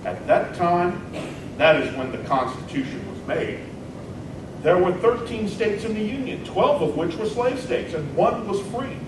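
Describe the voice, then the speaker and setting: Guy doing a fiery 19th century senator stump speech, highish voice, deliberate and emphatic, rumbly noisy hall recording. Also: lively intonation. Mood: emphatic, combative. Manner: oratorical, emphatic, deliberate phrasing. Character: combative 19th-century American senator stumping against his rival. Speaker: performer in a historical dramatic reading. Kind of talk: dramatic reading of a 19th-century political debate speech. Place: large echoey indoor hall, recorded from afar. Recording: very echoey hall, distant mic, rumbling noise.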